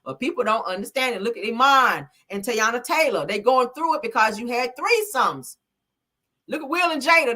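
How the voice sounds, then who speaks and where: Bright-voiced outspoken woman host. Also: high voice. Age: 30s. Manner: blunt, preachy, talks to chat. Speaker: live show host. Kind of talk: casual live-stream commentary.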